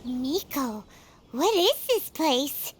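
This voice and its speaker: A woman voicing a tiny, timid, excitable fairy girl companion in a video game, with a squeaky childlike voice. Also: drawn-out words, slight background noise, heavily acted. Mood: puzzled. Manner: squeaky, childlike, speaks of self in third person. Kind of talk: video game character dialogue. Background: loud game music.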